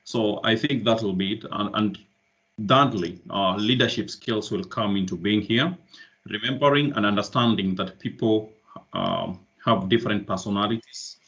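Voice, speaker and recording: A man's voice: on the low side, moderate pace, a bit bright, highs cut off. A man giving a formal campaign answer at an online student hustings, repeating words for emphasis, over a video call. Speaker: candidate in a student election.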